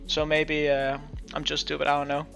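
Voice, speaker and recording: A Danish man who is not a native English speaker, streaming casual game-dev commentary in a halting way full of restarts. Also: a little high, monotone, gravelly, a bit bright, faint music behind. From Denmark. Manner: halting, many restarts and fillers. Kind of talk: casual live-stream commentary while coding. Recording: muffled lo-fi stream audio.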